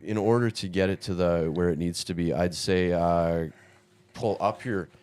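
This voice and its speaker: Deadpan man, very low voice, dry one-liners. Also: moderate pace, smooth. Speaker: comedian guest. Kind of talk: casual joking chat.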